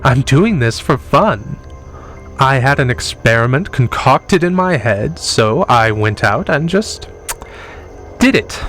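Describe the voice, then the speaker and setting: A man's voice: mid-range voice, lively intonation, slow, fairly bright tone, soft background music. A man playing a smug, mocking time-travelling professor villain in an audio drama, condescending and amused, with a genteel manner. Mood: gleeful, condescending.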